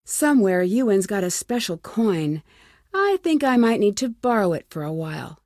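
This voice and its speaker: A woman's voice: medium pitch, very animated, normal speed, darkish tone, no echo, clean studio sound, natural voice. An American woman playing a curious, plucky young amateur detective in a video game, talking to herself in short, wry remarks. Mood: curious, focused. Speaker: voice actor in a detective adventure game. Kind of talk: video game character lines.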